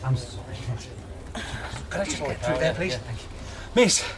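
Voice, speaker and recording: A man's voice: medium pitch, animated, normal speed, crowd noise, lightly acted. An actor in a radio drama playing a deferential, eager and easily flustered junior male detective. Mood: urgent, flustered.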